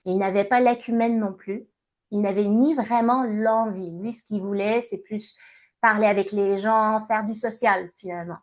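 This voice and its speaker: A woman's voice: medium pitch, fairly even intonation. Hesitant Québécois woman in her fifties. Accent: Québécois French. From Montreal, Canada. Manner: measured, many hesitations. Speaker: guest, entrepreneur and coach. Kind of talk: interview answers.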